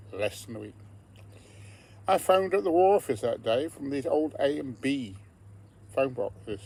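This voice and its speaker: A man's voice: mid-range voice, darkish tone, husky. A man in his seventies with an English accent, giving an earnest personal campaign monologue and building emphatic repeated phrases. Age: 70s. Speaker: political candidate.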